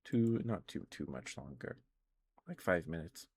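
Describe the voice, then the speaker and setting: A man's voice: mid-range voice, animated, a little slow, vocal fry, a little mellow, slightly rough. A man streaming casual ASMR chat about video games, rambling and hesitant as he trails off and corrects himself.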